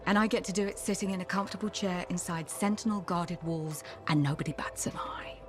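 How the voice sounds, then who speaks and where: An actress playing a tough, streetwise bar owner in a video game, brusque and wry with a no-nonsense manner. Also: female voice, lowish voice, a little fast, over music, natural voice. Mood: relaxed, reflective. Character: tough, streetwise female frontier bar owner, secretly soft. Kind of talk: video game dialogue acting.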